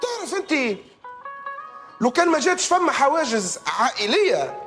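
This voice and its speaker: A man's voice: very high-pitched, sing-song, moderate pace, over music, full character voice. A man voicing a self-satisfied doctor and boss in a Tunisian cartoon comedy, pompous and condescending, sprinkling in French words. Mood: teasing, condescending. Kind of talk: animated sitcom dialogue.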